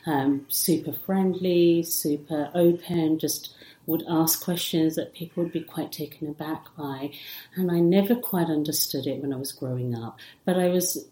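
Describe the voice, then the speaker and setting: Female interview guest, middle-aged, raised in England, earnest with lots of fillers, dark tone. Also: deep voice, reverberant, low-quality recording. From born in Africa, raised in England. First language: non-English first language. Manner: earnest, flowing, many "you know" fillers. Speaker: guest, psychologist and mentor. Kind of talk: reflective interview answers.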